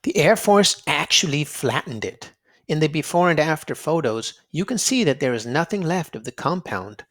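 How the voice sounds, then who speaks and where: A man reading aloud to children in an expressive storybook style, dramatic and emphatic, drawing out words for effect. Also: a little high, moderate pace. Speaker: children's read-aloud narrator and quiz host.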